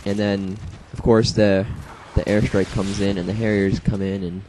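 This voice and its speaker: A young man narrates his own match in a rambling, offhand way, his voice a little hoarse and congested. His tone is low and mellow. Faint game gunfire sits under the talk. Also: flat delivery, normal speed, a little hiss or hum, low-quality recording. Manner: rambling, self-deprecating, lots of fillers. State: slightly hoarse from throat congestion. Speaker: gameplay commentator. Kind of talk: casual gameplay commentary.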